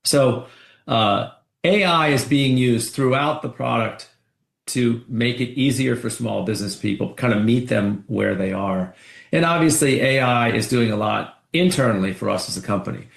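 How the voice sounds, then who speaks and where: A male executive talks through business updates in a conversational, salesy way. His mid-pitched voice has a gravelly fry, and he often doubles back on his words. The sound is roomy and echoing, like a conference call. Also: normal speed. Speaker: company executive. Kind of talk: earnings call remarks, semi-spontaneous product pitch.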